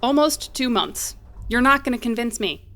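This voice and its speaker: A woman's voice: a little high, lively intonation, normal speed, a bit bright. A woman in an audio drama playing a stubborn, flippant, loyal repairperson with a casual, wry, defiant way of talking.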